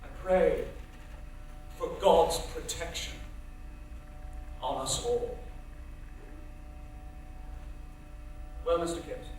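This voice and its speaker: An actor playing a brisk, commanding young theatre man, declaiming dramatically on stage. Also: fairly high pitch, darkish tone. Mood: solemn. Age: young adult. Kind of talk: theatrical stage acting, projected storytelling. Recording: echoey theatre, far mic, faint noise.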